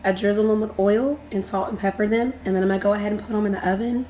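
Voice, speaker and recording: Hoarse woman hosting a home cooking vlog. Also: mid-range voice, steady tone, fast talker, mellow, a little hiss or hum. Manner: chatty, explains step by step. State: hoarse from a cold. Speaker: channel host. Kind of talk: casual cooking demonstration. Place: home kitchen.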